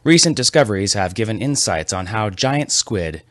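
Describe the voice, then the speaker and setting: A man's voice: on the low side, expressive, moderate pace, no room sound, clean studio sound. A man hosting a scripted comedy podcast with a wry, mock-serious delivery. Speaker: podcast host.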